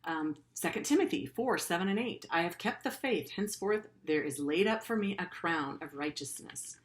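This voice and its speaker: A woman's voice: on the low side, expressive, moderate pace. A middle-aged woman teacher reading a story aloud to pupils in an earnest way, with simple, informal explanatory asides.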